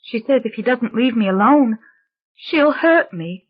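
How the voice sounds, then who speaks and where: A woman's voice: on the high side, animated, pitched above the performer's own voice. A woman voicing a frightened young girl who pleads in an anguished, tearful rush. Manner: anguished rushing pleading with sobs. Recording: dull lo-fi recording.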